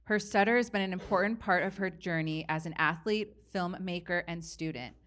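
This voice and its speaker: A woman hosting a live event and introducing speakers, speaking in a halting way with fillers and restarts. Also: fairly low pitch, fairly bright tone. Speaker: event host.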